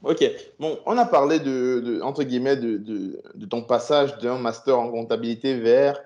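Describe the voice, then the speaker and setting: A male interviewer asks curious, probing follow-up questions. His tone is warm and mellow. The recording sounds a little dull and muffled. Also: mid-range voice, normal speed.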